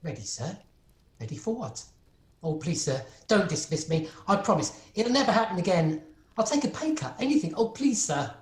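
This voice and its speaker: A woman's voice: lowish voice, sing-song, normal speed. A woman playing a meek, anxious male clerk in an amateur radio play, deferential, stammering and flustered. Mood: anxious pleading. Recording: roomy, echoing home recording.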